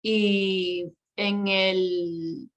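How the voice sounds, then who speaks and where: A woman's voice: medium pitch, little pitch movement, very slow. A woman from Venezuela with a Venezuelan accent, a podcast guest telling her life story in a chatty way, laughing often and using many fillers. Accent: Venezuelan Spanish.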